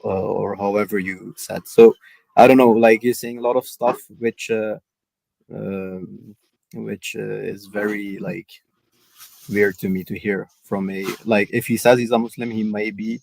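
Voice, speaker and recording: A man with a fairly low voice argues on a video call. He hesitates often and fills gaps with "like" and "uh." His sound is close and dry. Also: steady tone, unhurried, vocal fry, darkish tone, no room sound, low-quality recording. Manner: hesitant, full of "like" and "uh" fillers. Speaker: debate guest.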